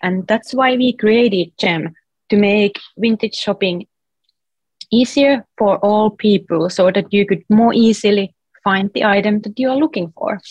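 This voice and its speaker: A woman's voice: medium pitch, measured pace, a little mellow, no room sound, lo-fi sound. A woman from Finland with a Finnish accent, a guest on an interview show, explaining her app in a friendly, chatty way. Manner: explanatory, friendly, uses "like" as filler. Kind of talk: casual interview chat.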